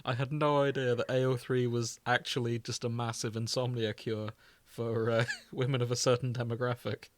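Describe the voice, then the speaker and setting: A man's voice: mid-range voice, little pitch movement, moderate pace, dry recording. A young man co-hosting a podcast in casual banter, with a wry, teasing manner. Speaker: podcast co-host.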